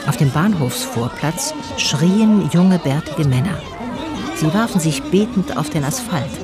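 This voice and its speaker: Low-voiced, thoughtful woman narrator. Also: lively intonation, measured pace, over music, crowd noise. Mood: reflective. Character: calm, thoughtful female narrator and concerned friend. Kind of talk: literary first-person narration in radio drama.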